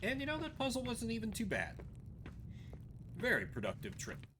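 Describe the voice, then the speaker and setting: Animated male game streamer, very lively pitch. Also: normal speed, background noise. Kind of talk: casual playthrough commentary.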